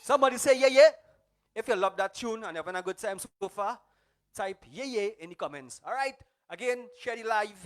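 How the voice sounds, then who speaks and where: A man's voice: sits high, expressive, fairly quick. A man, a singer hosting his livestream set, rallying the audience with upbeat repeated calls like a party hype man. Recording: music playing under the voice.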